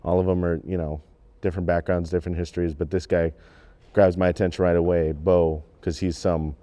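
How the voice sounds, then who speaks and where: Low, mellow teenage male voice. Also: level tone, brisk. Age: teens. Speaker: student actor. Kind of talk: casual interview. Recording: faint background noise.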